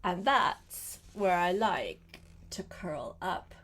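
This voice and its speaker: An older woman reading a picture book aloud to a small child, gentle and coaxing, with chatty asides spoken straight to the child. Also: on the low side, very animated. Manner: gentle, unhurried, coaxing, addresses the child directly.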